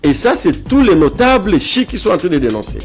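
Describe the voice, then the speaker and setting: A man's voice: sits high, sing-song, brisk, soft background music. A man from South Kivu with a Congolese French accent, speaking as a guest in a political interview, emphatic and repeating phrases to press his points. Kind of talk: political interview, opinionated argument.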